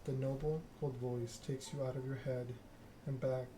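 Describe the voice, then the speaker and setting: A man streaming a text game, reading narration aloud in a soft-spoken ASMR style with casual chat. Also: mid-range voice, flat delivery, moderate pace. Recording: clear background noise.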